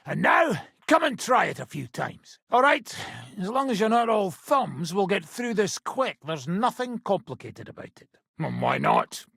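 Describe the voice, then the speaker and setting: Raspy British swaggering swordsman. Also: sits high, big pitch swings, a little slow, fairly bright tone, no room sound, clean studio sound, full character voice. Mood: instructing, cocky. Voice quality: gravelly, raspy. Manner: swaggering, rough, teasing. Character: boisterous hard-drinking male mercenary swordsman, cocky and crude. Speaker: combat trainer. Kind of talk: video game character dialogue.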